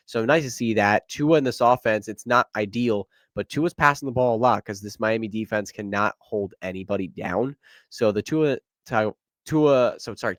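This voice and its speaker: A man's voice: lowish voice, no room sound. Congested man hosting a sports podcast. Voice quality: nasal. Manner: energetic, conversational, quick asides. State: congested, stuffy nose. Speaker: podcast host. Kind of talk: solo sports podcast analysis.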